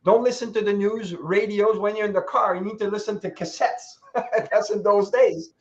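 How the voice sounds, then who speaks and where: A man's voice: high voice, normal speed. A man in his sixties telling motivational stories as a guest speaker. He talks with energy, laughs as he goes and acts out the people he quotes. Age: 60s. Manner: animated, laughing asides, acts out quoted voices. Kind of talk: motivational storytelling. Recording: dull lo-fi sound.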